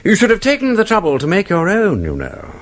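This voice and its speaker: An actor playing an urbane, composed gentleman detective with an upper-class English accent, polite and understated, in a radio drama. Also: high voice, wildly swooping pitch, a little fast. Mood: coolly probing.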